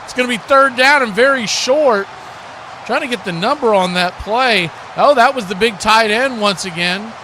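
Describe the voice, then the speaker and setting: High-pitched American man calling football, noisy audio. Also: normal speed, pushed and bright. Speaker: play-by-play sports commentator. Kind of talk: live American football play-by-play commentary with casual asides.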